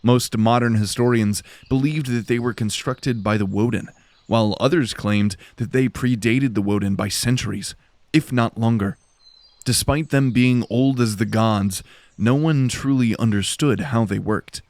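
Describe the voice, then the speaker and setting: A man's voice: mid-range voice, measured pace, a little mellow, dry recording. A man reading scripted, dramatic narration for a fantasy audio drama.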